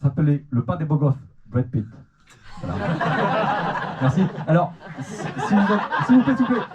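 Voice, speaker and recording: Young normand comic teasing the room, slightly high voice, dull noisy club recording. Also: male voice, sing-song, moderate pace. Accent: Manche Normandy French. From Manche, Normandy, France. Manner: teasing, self-mocking, stammering restarts. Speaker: stand-up comedian. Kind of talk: stand-up comedy set. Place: comedy club stage. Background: audience laughter.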